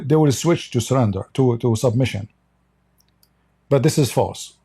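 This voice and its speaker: A man whose first language is Arabic talks in English with a dark, mellow tone and a husky edge. He is blunt and scornful, and he often stumbles over his sentences and repeats words. He delivers an off-the-cuff commentary monologue. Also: mid-range voice. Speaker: online commentator.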